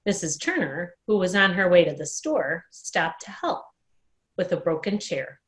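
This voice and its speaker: A woman telling a children's story in an expressive read-aloud style, recorded at home in a dining room. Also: on the low side, measured pace. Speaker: storyteller at a worship service.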